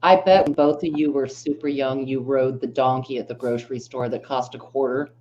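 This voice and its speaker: A woman's voice: very deep voice, steady tone, a little fast, dark tone, lo-fi sound, slight background noise. A woman in her forties in a casual late-night chat, talking in a chatty, nostalgic way. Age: 40s.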